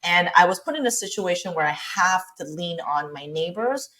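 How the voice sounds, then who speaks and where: A woman's voice: fairly low pitch, expressive, normal speed, bright, forceful tone. A woman in her thirties with an American accent, born in Taiwan, chatting candidly as a podcast guest with many fillers and restarts. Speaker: guest entrepreneur. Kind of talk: casual interview chat.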